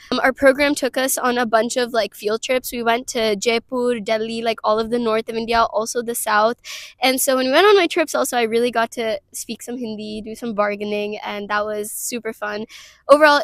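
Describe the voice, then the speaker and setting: A young woman student in her twenties whose first language is Tamil talks in an easy, chatty way, full of "like" and "um". Her voice sits a little high. The recording is close and dry, with no room sound. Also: a bit bright, no room sound. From Cleveland, Ohio, US. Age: 20s. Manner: chatty, frequent "like" and "um" fillers. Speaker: college student. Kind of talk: casual interview monologue.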